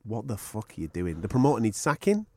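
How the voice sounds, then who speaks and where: A man's voice: on the low side, wildly swooping pitch, fast talker, a little mellow, no echo, clean studio sound. A man hosting a boxing podcast in casual chat, chatty and swearing freely.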